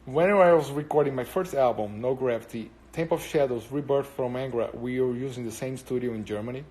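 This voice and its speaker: A man's voice: mid-range voice, fairly quick, darkish tone, poor audio. A Brazilian man with a Brazilian Portuguese accent and Portuguese as his first language, chatting casually on a live stream and answering viewers. He rambles and hesitates with many fillers.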